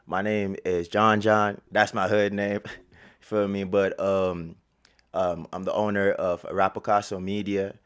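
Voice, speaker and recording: A man's voice: deep voice, flat delivery, unhurried, a bit bright, clear, resonant tone. A man from Jamaica with a Jamaican accent, a guest chatting casually in an interview with slangy, laid-back talk. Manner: slangy, laid-back, leans on "you feel me". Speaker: guest, media platform owner.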